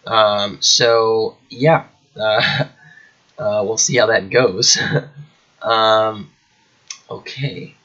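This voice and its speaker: A man's voice: lowish voice, steady tone, drawn-out words, pauses often, pushed and bright, muffled recording. A man with a cold, a little nasal and congested, chatting casually at home as host of his chess channel, rambling with many fillers. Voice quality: slightly nasal. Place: at home indoors.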